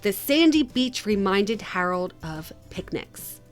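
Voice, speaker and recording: A woman reading a children's picture book aloud in an expressive, measured storytelling manner. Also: mid-range voice, faint music behind. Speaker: read-aloud host.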